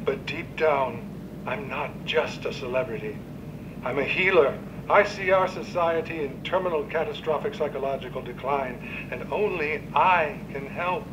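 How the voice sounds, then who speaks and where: Game casting: male actor as self-promoting celebrity shrink, delusions of grandeur, pompous, higher-pitched, over noisy rumble. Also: measured pace, natural voice. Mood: grandiose, persuasive. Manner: pompous, theatrical, clinical jargon. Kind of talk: video game cutscene acting.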